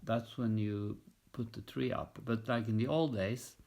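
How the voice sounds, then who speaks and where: A man's voice: lowish voice, steady tone, unhurried, vocal fry, a little mellow. A middle-aged man from Norway, a native Norwegian speaker, chatting casually on a podcast while knitting, mostly with short, dry remarks. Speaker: podcast co-host.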